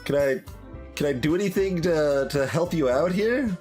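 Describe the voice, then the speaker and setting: A man's voice: on the high side, animated, normal speed, darkish tone. A man giving casual, hesitant, rambling live commentary as he plays a game, thinking aloud. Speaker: streamer playing a visual novel. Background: game music.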